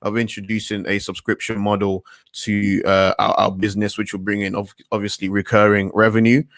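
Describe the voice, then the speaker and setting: A man's voice: fairly low pitch, level tone, fairly bright tone, no room sound. Male co-host, casual investor chat, stammering restarts, dry close sound. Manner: halting, repeats words, many fillers. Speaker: livestream host.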